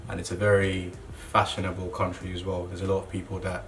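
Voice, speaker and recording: A young man who grew up in Zambia, a clothing brand co-founder, talking casually and relaxed, with lots of "you know" fillers. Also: deep voice, fast. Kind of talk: casual interview monologue. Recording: distant mic with clear background noise.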